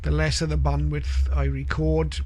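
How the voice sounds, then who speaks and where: A man presenting an informal spoken tutorial, explaining step by step with hesitant pauses. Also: a little high, completely flat delivery, dark tone, slight background noise. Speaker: presenter of a shortwave radio tutorial.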